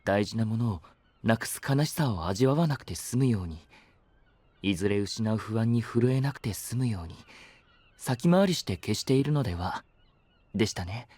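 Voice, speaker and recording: A man's voice: on the low side, animated, normal speed, warm tone, dry recording. An actor playing a polite, composed and analytical young man with a formal, courteous way of speaking in an anime audio drama.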